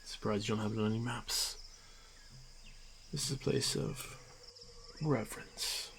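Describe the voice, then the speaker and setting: Orc warrior , male performer: confident hunter, slow solemn delivery with gravel, fantasy roleplay audio over outdoor night ambience. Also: on the low side, steady tone, fairly bright tone, slight background noise. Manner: measured, halting, terse phrases with solemn pauses.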